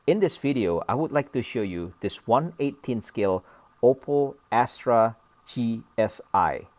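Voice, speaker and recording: A man's voice: mid-range voice, expressive, unhurried, darkish tone, dry recording. A man from Indonesia hosting a casual, unscripted model car review, speaking hesitantly in short broken phrases and often checking in with a brief questioning tag.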